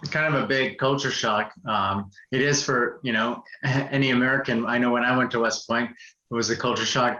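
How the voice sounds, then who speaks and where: Bright-voiced male host, roomy sound. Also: medium pitch. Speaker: interview host. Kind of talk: casual interview. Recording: roomy, slightly dull sound.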